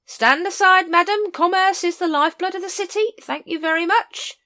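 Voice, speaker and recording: A woman's voice: extremely high pitch, normal speed, pushed and bright, voice pushed up. A woman voicing a whining, wheedling street sausage vendor with pushy salesman patter.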